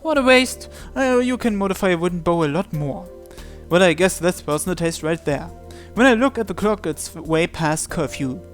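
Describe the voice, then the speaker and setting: A man's voice: high-pitched, animated, moderate pace. A man reading a visual novel aloud as first-person narration for a playthrough. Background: game music.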